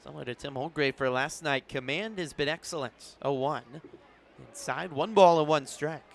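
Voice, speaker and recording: A man's voice: fairly high pitch, normal speed, gravelly, a little hiss or hum. A man doing live radio play-by-play commentary of a baseball game as the announcer. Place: broadcast position at an outdoor ballpark in the evening.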